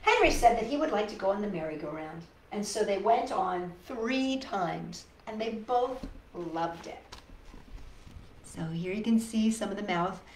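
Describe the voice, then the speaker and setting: Woman storytime host, very lively melodic delivery, talks straight to little kids, sympathetic and coaxing; echoey room. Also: medium pitch, a little slow. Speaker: storytime host reading a picture book. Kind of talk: expressive children's storytime read-aloud with asides to young viewers. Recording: echoey room, faint background noise.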